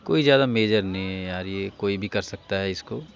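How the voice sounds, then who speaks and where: A man's voice: deep voice, brisk, creaky. An Indian man giving a casual hands-on repair tutorial, speaking informally and instructing viewers directly. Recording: dull lo-fi recording with faint noise.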